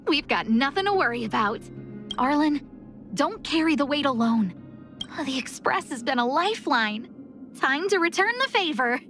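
A woman's voice: sits high, expressive, normal speed, fairly bright tone. A woman voicing a kindly, upbeat young lady in an anime game, friendly and encouraging. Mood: upbeat, reassuring. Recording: faint music behind the voice.

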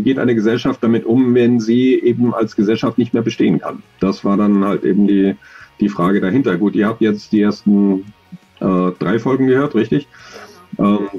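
A man in his forties, an audio drama author, talking thoughtfully in an interview over a video call, with many pauses and fillers. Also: fairly low pitch, husky, dull audio. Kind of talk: relaxed conversational interview.

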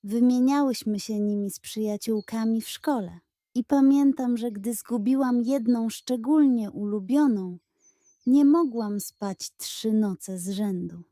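Woman voicing a female duck café owner, kind, gentle, soft mellow tone, children's tale. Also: a little high, unhurried, no echo, clean studio sound, lightly acted. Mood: warm, reminiscent. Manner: gentle, encouraging.

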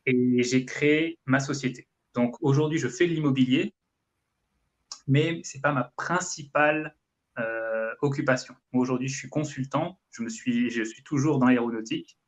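A man's voice: mid-range voice, moderate pace. A man in his thirties from France, giving explanatory, conversational answers on a video call. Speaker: guest, real estate investment coach. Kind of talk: conversational live interview answers. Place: indoor room, video call.

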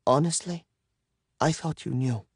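A man plays a young woman captor in an audiobook thriller, pitched somewhat high over a close, dry recording. She is cool, tough and unflappable, speaking matter-of-factly with quiet menace. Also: measured pace, breathy edge, no echo.

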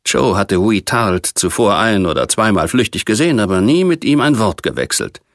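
A man narrating a science fiction novel as an audiobook, reading in a measured, precise literary manner. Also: on the low side, expressive, no echo, studio quality.

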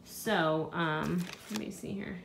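Woman vlogger, thirties, lowish voice, chatty and offhand, recorded by a handheld camera in an echoey kitchen with steady noise. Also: slow pace, creaky. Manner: chatty, thinking aloud about prices. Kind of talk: casual grocery haul narration. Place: home kitchen.

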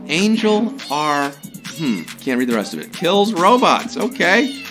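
A man in his thirties hosting a live trivia show from a studio, playful and jokey, a little hoarse from a sore throat. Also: a little high, sing-song, music in the background. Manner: playful, jokey, slips into quick impressions and sung bits. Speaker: trivia game show host. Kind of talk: upbeat live quiz hosting. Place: studio before a green screen.

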